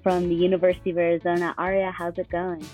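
A woman's voice: on the low side, flat delivery, fast, a little mellow, soft background music. A woman hosting a radio interview show, upbeat and encouraging with her guest. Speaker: radio host.

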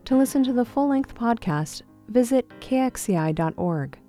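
A woman hosting a radio show in a studio, reading scripted introductions and asking questions in a calm, deliberate way. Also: a little high, faint music behind. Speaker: radio host.

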